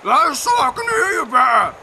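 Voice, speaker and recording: A man voicing a sly, hungry fairytale wolf in a storybook play, with loud, emphatic replies. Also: very high-pitched, big pitch swings, brisk, voice pushed up. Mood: menacing, eager. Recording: noisy outdoor amateur live recording.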